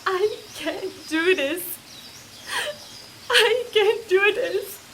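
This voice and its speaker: A woman's voice: extremely high pitch, very slow, a bit bright, slight background noise, heavily acted. A woman playing a weary, defensive astronaut scientist in an audio drama, with a clipped, strained manner. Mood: desperate. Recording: faint background ambience.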